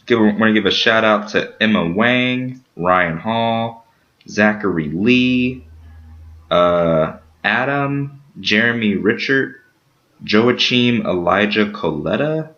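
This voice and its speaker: A man hosting a casual podcast, rambling through shout-outs and promos with lots of fillers. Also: lowish voice, expressive, slow pace. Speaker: podcast host. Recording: dull lo-fi sound.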